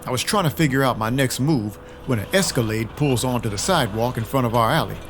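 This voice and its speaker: Man playing a wry, lowish vampire detective narrator. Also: quick pace, a bit bright, a little hiss or hum, close to an everyday voice. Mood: dry, explanatory. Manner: wry, dry, conversational. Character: world-weary, compassionate male vampire detective. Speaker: narrator and lead. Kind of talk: first-person scripted noir narration, audio drama.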